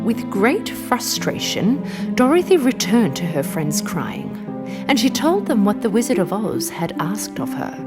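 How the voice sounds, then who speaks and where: A woman narrating a scripted children's fairy tale in an expressive, dramatic way. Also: mid-range voice, unhurried. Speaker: storyteller, narrator. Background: music.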